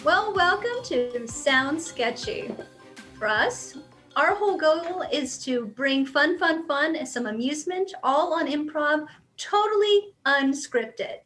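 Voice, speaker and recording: High-voiced, very animated woman host. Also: slow pace, faint music behind. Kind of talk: introducing an improv comedy show to viewers.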